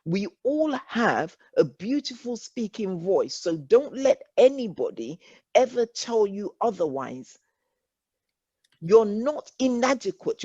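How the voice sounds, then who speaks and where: A woman's voice: mid-range voice, a little mellow, dry recording. A woman with an English accent leading a workshop, earnest and reassuring, often repeating her points. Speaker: workshop facilitator. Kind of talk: encouraging workshop talk. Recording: dull lo-fi online call audio.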